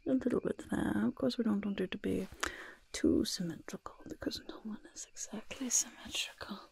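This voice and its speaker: A young woman voicing a grudging, smug goblin disguise artist in a breathy, raspy, soft-spoken ASMR roleplay, muttering reassurances close to the microphone. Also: mid-range voice, normal speed, full character voice. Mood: fussy, soothing. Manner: refers to self by name, mutters and repeats reassurances. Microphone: close microphone, face close to camera.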